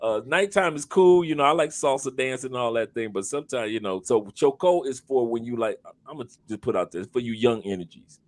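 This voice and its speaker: A man's voice: medium pitch, expressive, a little fast, few pauses. A man in his fifties chatting casually on a video call, rambling with frequent restarts. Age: 50s. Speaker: guest coach. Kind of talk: casual podcast chat.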